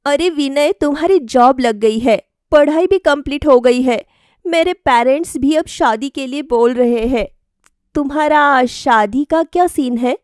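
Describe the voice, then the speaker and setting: Woman playing a high-pitched, spirited college girl. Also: a little slow, dry recording, clean studio sound. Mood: urging. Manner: pleading, expressive, insistent. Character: lively, carefree, stubborn young college woman.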